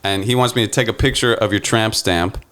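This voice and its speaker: A relaxed man in his thirties co-hosts a casual podcast chat. His voice sits on the low side, and he laughs easily between his lines. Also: a little fast, smooth. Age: 30s. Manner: laid-back, laughs often. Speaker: podcast co-host.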